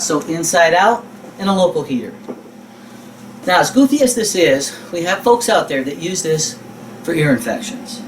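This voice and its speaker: A teacher giving an informal instructional lecture, explaining things step by step in a conversational way and often checking that listeners follow. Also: mid-range voice. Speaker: teacher of a physical therapy exam prep class. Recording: distant mic with faint room noise.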